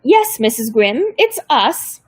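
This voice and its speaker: A shrill, stern old crone of a caseworker, as a woman reader puts her on. She barks orders in a raised, pinched voice, all threat under forced politeness. Dry, close storybook reading. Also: sing-song, slow pace, slightly rough, no echo, exaggerated voice. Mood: fake-polite. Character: mean bossy old female caseworker.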